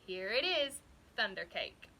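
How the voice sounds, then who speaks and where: A woman voicing a calm, folksy farm grandmother from a children's storybook, reassuring and rustic in her phrasing. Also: mid-range voice, measured pace, slight background noise, full character voice. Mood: eager. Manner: steady, soft, rustic phrasing. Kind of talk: children's storybook character reading.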